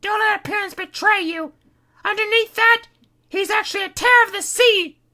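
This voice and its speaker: A woman's voice: moderate pace, sharp tone. A woman voicing a frantic young woman with claws who shrieks warnings. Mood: ominous. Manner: shrill, screaming warnings.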